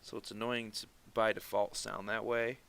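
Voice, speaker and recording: A man's voice: on the low side, little pitch movement, vocal fry, fairly bright tone, clear, resonant tone. A man with a nasal voice that sounds sarcastic by default, chatting casually with viewers while he draws on a stream. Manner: rambling, quick asides and mutters while working. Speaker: streaming artist.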